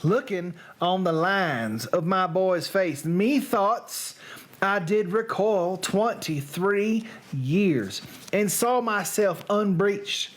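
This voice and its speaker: A reader voicing a musing Shakespearean nobleman and fond father in a put-on Southern American accent, with drawn-out theatrical phrasing. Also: mid-range voice, expressive. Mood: musing, nostalgic. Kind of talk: dramatic reading of Shakespeare verse.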